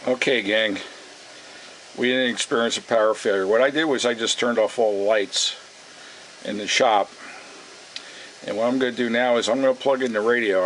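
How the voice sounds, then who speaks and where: A man with a fairly low voice talks through a repair in a casual, folksy way. He is unhurried and thinks aloud as he goes. The camera microphone in his shop picks up a light layer of background noise. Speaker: radio repair vlogger. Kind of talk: casual narrated repair demonstration. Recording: steady noisy camera sound in workshop.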